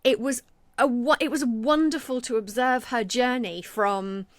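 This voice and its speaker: A woman's voice: a little high, unhurried, no room sound. A woman co-hosting a podcast, telling a work story in a conversational way and acting out quoted lines. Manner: animated, acts out quoted speech. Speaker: podcast co-host.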